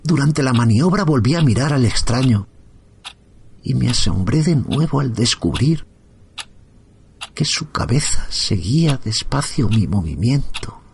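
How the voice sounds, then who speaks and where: A man from narrating a first-person horror story in a slow, hushed, suspenseful manner. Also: medium pitch, vocal fry, slight background noise.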